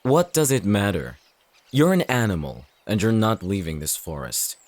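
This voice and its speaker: A male actor as a smug, contemptuous hunter villain in a fantasy audio roleplay. His voice sits low and deep, and he taunts with a sneer. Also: very animated, normal speed, darkish tone, dry recording. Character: cocky, contemptuous male shifter-hunting villain. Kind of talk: audio roleplay drama acting.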